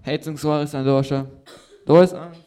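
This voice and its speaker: A man's voice: a little high, fairly even intonation, normal speed, mellow, smooth. A man, playfully leading a casual group word game and prompting others to speak.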